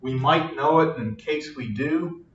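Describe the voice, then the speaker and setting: A man's voice: on the high side, a bit bright, slight background noise. An older man, a math lecturer, explaining step by step at a whiteboard in a patient, repetitive classroom manner, recorded from across the room. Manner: slow, patient, repeats each point. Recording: echoey classroom, distant, dull.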